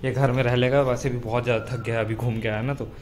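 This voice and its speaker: A man's voice: mid-range voice, level tone, quick pace, a little mellow. A teenage boy vlogging outdoors on a phone held at arm's length, chatting casually to his viewers as he walks around. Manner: chatty, addressing viewers as guys. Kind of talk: casual vlog narration. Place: outdoors, streets and a stadium. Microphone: phone camera at arm's length. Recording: noisy outdoor phone recording.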